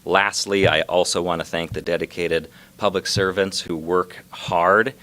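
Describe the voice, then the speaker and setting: Male official, low-pitched, reading a prepared civic statement in a measured, formal way. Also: steady tone, a bit bright. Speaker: local government official at a press announcement. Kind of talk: prepared public speech.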